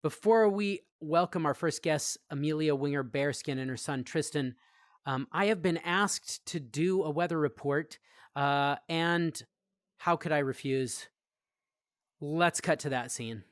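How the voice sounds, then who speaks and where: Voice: mid-range voice, measured pace, no room sound, clean studio sound. A talk show host presenting in a playful way, with theatrical announcer flourishes.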